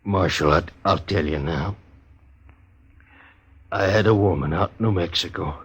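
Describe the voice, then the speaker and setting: An actor playing a wounded, dying old-West outlaw in a radio western, bitter and resigned, with a rustic drawl and weak delivery. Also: male voice, low-pitched, a little slow, slightly rough, heavily acted. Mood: confessional. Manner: rustic drawl, folksy plain-spoken grammar. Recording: dull, muffled old radio recording.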